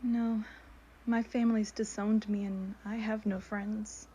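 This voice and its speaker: A woman playing a mysterious stranger in a radio drama, speaking in a polite, measured way. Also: medium pitch, flat delivery, mellow, a little hiss or hum, close to an everyday voice. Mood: pleading. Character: mysterious woman posing as applicant and desperate homeless stranger.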